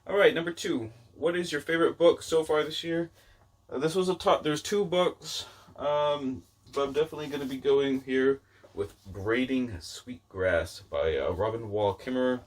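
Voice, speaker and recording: A man's voice: mid-range voice, moderate pace, far from the mic. A man giving a casual, rambling book-review chat to viewers, informal and self-deprecating in his delivery. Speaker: book vlogger.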